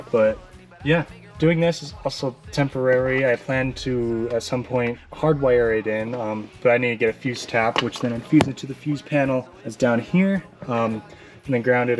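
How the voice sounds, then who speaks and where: A man talking casually and informally through a hands-on how-to, rambling a little as he explains. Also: medium pitch. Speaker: hobbyist presenter of a how-to video. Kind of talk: casual hands-on tutorial talk.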